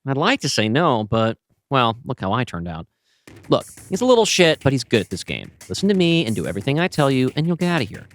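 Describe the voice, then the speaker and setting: A man's voice: medium pitch, wildly swooping pitch, fast talker. A man voicing a wry, bossy young woman in an audio play. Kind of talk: audio play table read.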